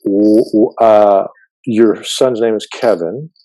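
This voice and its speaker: A man's voice: lowish voice, steady tone, slow, dark tone, no echo, poor audio. A man whose first language is Farsi, hosting a casual interview in a chatty, joking way with many fillers. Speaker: podcast host.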